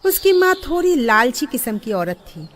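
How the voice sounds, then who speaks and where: A woman narrating a scripted moral story in an expressive storybook delivery. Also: fairly high pitch, moderate pace. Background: background music.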